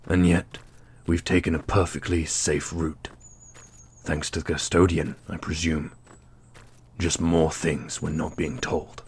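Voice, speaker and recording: A man playing a wry, teasing immortal brother in a fantasy audio roleplay, speaking deliberately. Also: extremely low pitch, little pitch movement, darkish tone, husky, exaggerated voice.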